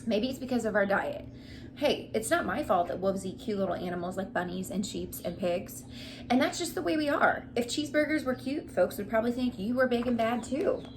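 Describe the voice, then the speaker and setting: A woman's voice: on the high side, lively intonation, a little fast, background noise. A woman voicing a chatty, indignant male storybook wolf who protests his innocence in an emphatic, confiding way. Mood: defensive, indignant.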